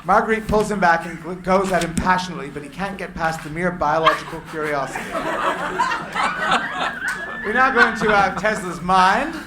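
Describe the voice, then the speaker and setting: A man reading screenplay stage directions aloud as a narrator, in deliberate, theatrical phrases. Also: sits high. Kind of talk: dramatic live script reading. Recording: echoey room with clear background noise.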